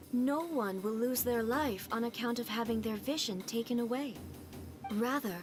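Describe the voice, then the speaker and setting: A detached, commanding ruler of eternity speaks from a video game. Her slightly high voice stays calm, formal and measured, never hurried. Game music plays clearly behind her.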